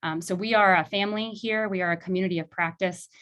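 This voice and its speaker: A woman's voice: on the low side, fairly even intonation, fast, a bit bright. A woman from Illinois who directs a law clinic, speaking earnestly and reflectively on a video call, with many fillers. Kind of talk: virtual panel talk.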